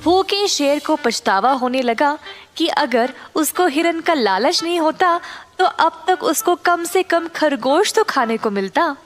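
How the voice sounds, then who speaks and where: A woman storyteller reads a scripted children's tale. Her voice is high and her pace is slow, with soft music underneath. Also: expressive.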